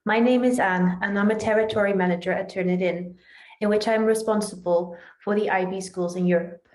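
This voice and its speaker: A woman's voice: mid-range voice, fairly even intonation, a little fast, darkish tone. A woman from the Netherlands, a native Dutch speaker with a Dutch accent, giving a prepared explanation in an online presentation as a company manager. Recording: roomy, echoing voice.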